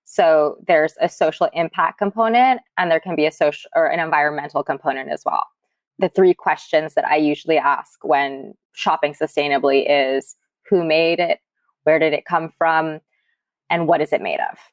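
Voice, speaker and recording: A woman's voice: sits low, moderate pace, no echo. A woman interview guest explaining things in a conversational way. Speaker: guest, sustainable fashion advocate.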